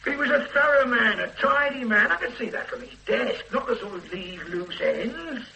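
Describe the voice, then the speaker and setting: A man's voice: very high-pitched, expressive, normal speed, vocal fry, noisy background, heavily acted. An actor playing a blunt male police inspector in a radio drama, probing and sardonic, turning threatening when a witness holds back. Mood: pressing. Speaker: police detective questioning a witness. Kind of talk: 1970s-80s radio drama acting.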